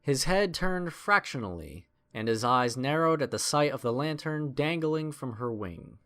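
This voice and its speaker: A man's voice: medium pitch, lively intonation, measured pace, pushed and bright. A man narrating a scripted adventure story in a dramatic storytelling style.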